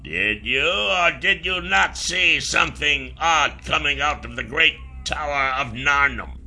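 A man voicing a hard, threatening soldier with a gruff, barking manner. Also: a little high, lively intonation, bright, forceful tone, pitched above the performer's own voice, heavily acted. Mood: menacing. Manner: barking, menacing, slow and deliberate.

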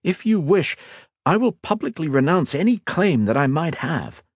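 A man voicing an earnest, calm male mage lord who speaks evenly and respectfully. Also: a little high, moderate pace, dry recording, studio quality, pitched above the performer's own voice. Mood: pleading.

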